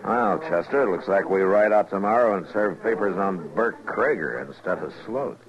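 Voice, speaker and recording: Actor as deep-voiced, laconic frontier marshal. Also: male voice, moderate pace, close to an everyday voice. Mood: matter-of-fact. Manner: dry, laconic, authoritative. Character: steady, dry-humored frontier lawman, firm and laconic. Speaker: US marshal in a western. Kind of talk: old-time radio western acting. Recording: muffled old radio recording, crowd murmur.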